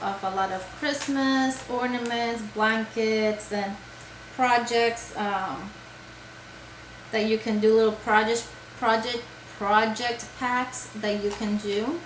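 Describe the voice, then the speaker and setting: A woman's voice: fairly high pitch, a little hiss or hum. Chatty woman vlogger in an echoey room. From Puerto Rico. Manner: rambling, many fillers and restarts. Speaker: crochet vlogger. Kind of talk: casual show-and-tell chat.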